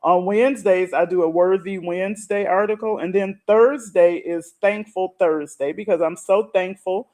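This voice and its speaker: A woman's voice: fairly low pitch, moderate pace, few pauses, darkish tone. A middle-aged woman speaks as a guest coach in a casual, explanatory chat. She talks in a conversational way, laying things out point by point. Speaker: guest, retirement and money coach who writes articles.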